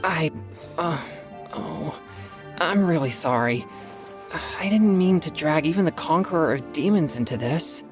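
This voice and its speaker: A man's voice: a little high, lively intonation, unhurried. A man voicing an earnest, polite teenage boy exorcist in an anime video game, formal and a little hesitant. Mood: apologetic, deferential. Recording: faint music behind.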